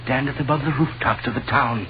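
A man's voice: mid-range voice, steady tone, brisk, natural voice. An actor playing a devout, impatient wise man with formal, declamatory speech in 1930s radio style. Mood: questioning. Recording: muffled noisy 1930s radio recording.

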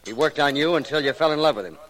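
An actor playing an earnest, jealous suitor with brooding menace, plain-spoken and intense, in 1940s radio melodrama style. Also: male voice, a little high, racing, a bit bright, natural voice, rough recording. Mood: bitter, brooding.

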